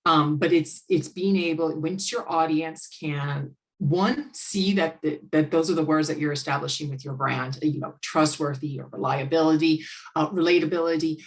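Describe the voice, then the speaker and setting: An outgoing American woman, a guest expert, chatting casually about business and restarting her sentences often. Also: low-pitched. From United States. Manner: outgoing, speaks in quick bursts with restarts.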